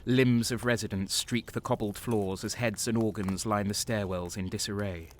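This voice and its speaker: An actor playing a bitter, sardonic renegade soldier, narrating and speaking in a dark sci-fi audio drama with a brooding, cynical manner. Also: male voice, on the low side, steady tone, normal speed, slight background noise, natural voice. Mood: grim narration.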